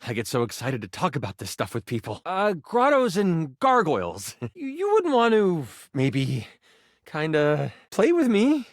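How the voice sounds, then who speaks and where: A man's voice: a little high, huge pitch swings, measured pace, dry recording, clean studio sound, heavily acted. A man voicing an eager, nerdy living twenty-sided die in a video game, a theatrical game chronicler with geeky, mock-archaic flourishes. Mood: giddy excitement, then sheepish, coaxing. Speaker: game master character in a video game. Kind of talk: animated video game voice acting.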